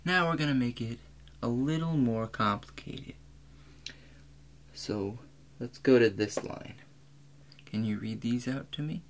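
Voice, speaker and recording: A man in his twenties speaking softly and calmly as a doctor in an ASMR role play, guiding the listener step by step. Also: medium pitch. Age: 20s. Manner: calm, measured, instructing the listener step by step. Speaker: role-player acting as an examining doctor. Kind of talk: soft-spoken ASMR medical role play. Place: small room indoors. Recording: clear steady background hum.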